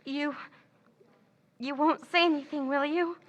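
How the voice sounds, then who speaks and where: Scheming woman acting all nervous, actress playing her, stammery, high bright voice. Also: fairly even intonation, measured pace. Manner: stammering, hesitant, breathy pleading. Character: scheming woman Pamela feigning nervous distress. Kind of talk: 1940s radio acting.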